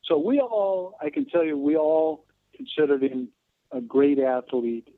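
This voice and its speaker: An American man of around seventy telling stories in an interview, halting and digressive, often pausing mid-sentence. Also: on the high side, no room sound. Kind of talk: conversational interview storytelling. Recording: narrow phone-like line.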